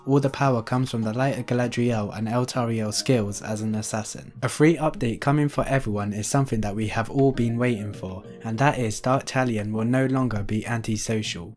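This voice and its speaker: A man's voice: mid-range voice, a little mellow. A man hosting a gaming video, reading scripted news and update details as a voice-over. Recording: music under the voice. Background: background music.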